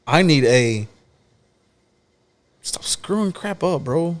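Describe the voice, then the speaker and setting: A man's voice: a little high, animated, takes it slowly. A man in his thirties, a guest on a podcast, talking directly and emphatically in a casual chat and repeating key phrases to drive his point home. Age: 30s.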